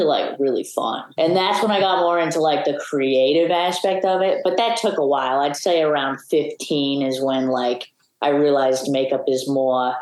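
A young woman with a strikingly low voice answers interview questions, reflective and open as she tells her story. The sound is roomy, with a clear echo around her. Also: darkish tone. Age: young adult. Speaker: guest. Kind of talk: casual interview chat.

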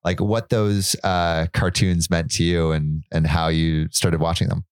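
A man's voice: sits low, level tone, unhurried, a little hiss or hum. A man hosting an interview show, asking loose, hesitant questions full of fillers. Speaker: podcast host, interviewer.

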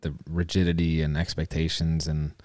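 A man's voice: very deep voice, monotone, moderate pace, darkish tone, no room sound, clean studio sound. A man co-hosting a podcast, asking questions in a searching, stammering way with many restarts. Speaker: podcast co-host. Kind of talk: conversational interviewing.

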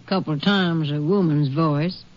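A woman's voice: low voice, lightly acted. Woman playing a folksy American rooming-house landlady. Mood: unhelpful, plain. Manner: folksy, drawn-out rustic talk. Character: plain-spoken, folksy rooming-house landlady with a bad back. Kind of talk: 1950s radio western acting. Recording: dull vintage 1950s radio recording.

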